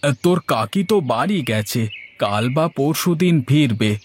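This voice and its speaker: An actor playing an inquisitive village uncle, a familiar neighbour, in a moral-story cartoon. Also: male voice, medium pitch, animated, moderate pace, a little hiss or hum, lightly acted. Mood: curious.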